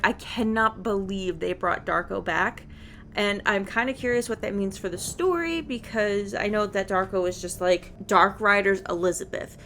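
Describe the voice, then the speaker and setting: A woman giving casual, expressive gameplay commentary, chattily reacting aloud to the game as she plays. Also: mid-range voice. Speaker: video game streamer.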